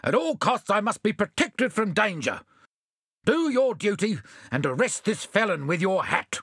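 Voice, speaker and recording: Pompous cowardly mayor, high and blustering, storybook; man reading. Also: sing-song, moderate pace, a bit bright, slightly rough, dry recording, clean studio sound, exaggerated voice. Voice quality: blustering, self-important.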